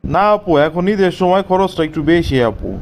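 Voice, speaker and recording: A man's voice: high voice, normal speed, a little mellow, slight background noise. A man with a Bangladeshi accent playing a stubborn, profiteering shopkeeper in a cartoon skit, haggling insistently in colloquial speech. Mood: persuasive, firm.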